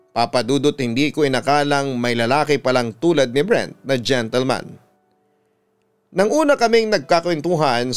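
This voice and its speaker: A Filipino man narrates a scripted story in the style of a radio announcer. His voice is smooth and clean, at a middling pitch, with a measured, dramatic delivery. Instrumental music plays softly behind him. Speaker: radio announcer and host reading listener stories.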